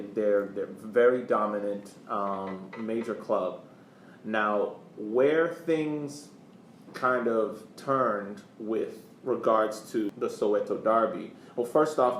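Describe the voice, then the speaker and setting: A man co-hosting a casual sports history discussion, explaining haltingly and often repeating words. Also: lowish voice. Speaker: podcast co-host. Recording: echoey room, faint background noise.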